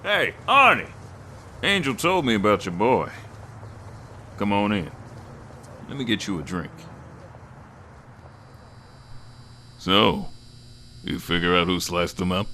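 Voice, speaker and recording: A man's voice: lowish voice, expressive, measured pace, long pauses, pushed and bright, slight background noise, exaggerated voice. A man playing a middle-aged former gangster and bartender in an audio drama, talking in a familiar, slangy, musing way. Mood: friendly. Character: nostalgic old gang buddy, bartender, conflicted father. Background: music.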